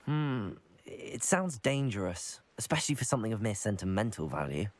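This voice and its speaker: A man's voice: lowish voice, very animated, normal speed. A man playing a polite, curious young student hero in a video game, with a courteous manner. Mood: hesitant. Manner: courteous, inquisitive. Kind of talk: video game character acting.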